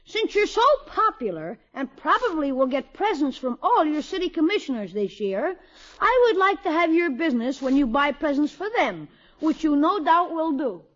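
A woman playing an eager, brash schoolboy in a 1950s radio comedy, excitable and slangy. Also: high voice, moderate pace. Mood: brisk, self-important. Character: eager, brash schoolboy nephew hustling for a job. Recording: dull vintage 1950s radio recording.

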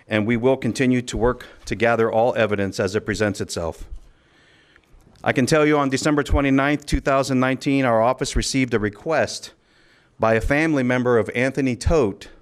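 A man speaking as a sheriff at a press conference, delivering a formal statement in a measured, official, occasionally halting way. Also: mid-range voice. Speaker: county sheriff.

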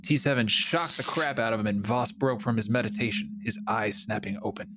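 A man with a fairly low voice narrates an audio drama in the first person. His telling is brisk and matter-of-fact. Steady background noise hisses under his voice.

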